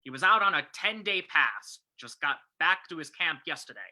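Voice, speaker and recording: A woman's voice: sits low, expressive, normal speed, pushed and bright, full character voice. A woman playing a blunt, accusing male police investigator in an old-time radio drama reading.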